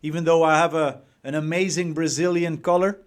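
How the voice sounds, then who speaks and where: High-pitched man with a Dutch accent. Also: little pitch movement, brisk, smooth. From Netherlands. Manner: emphatic, repeats words, rhetorical questions. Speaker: dating coach. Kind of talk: motivational coaching talk.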